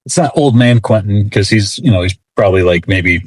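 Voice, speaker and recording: A man's voice: lowish voice, lively intonation, a little fast. A man speaking as an interview guest, explaining things in a casual, informal and rambling way. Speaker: interview guest, comics writer.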